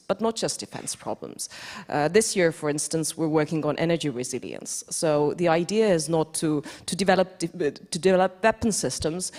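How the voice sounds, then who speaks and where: Brief: Estonian-accented woman, low voice, echoing conference hall. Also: darkish tone. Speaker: panelist from a defense innovation body. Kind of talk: panel discussion on stage. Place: large conference hall stage. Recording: long hall reverb.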